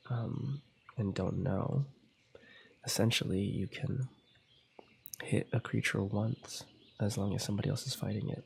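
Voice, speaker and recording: A young man who is fighting a cold murmurs his way through a gameplay session in a hushed ASMR voice, drifting into whispers. His voice is thick with gravelly fry and has a dark, mellow tone. A faint noise floor sits behind him. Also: on the low side. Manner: quiet, slipping into whispers. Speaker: ASMR gaming channel host. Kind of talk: soft-spoken ASMR gameplay commentary.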